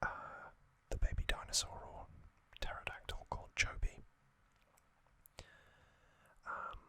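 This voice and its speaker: A man whispering as an ASMR host, recounting trivia in a soft, rambling way with frequent pauses and ums. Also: no echo. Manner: rambling, personal recollections.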